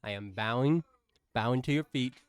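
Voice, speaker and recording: A man co-hosting a casual podcast chat, soft-spoken and halting, often restarting his sentences. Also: mid-range voice, clear, resonant tone, no room sound. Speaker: podcast co-host.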